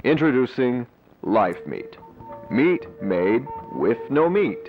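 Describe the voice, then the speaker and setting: A man playing a polished, persuasive corporate pitchman narrating a scripted infomercial. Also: big pitch swings, takes it slowly. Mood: promotional. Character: smooth-talking corporate pitchman for a meat substitute. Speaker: advertising narrator. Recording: dull lo-fi video audio over music. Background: music.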